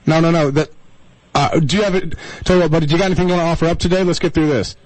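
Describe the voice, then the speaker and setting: A folksy man hosts a live call-in radio show. His voice sits a little high and sounds dry and close. He talks in an exasperated way, repeating himself as he explains things. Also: steady tone, rapid-fire, a little mellow, no echo, low-quality recording.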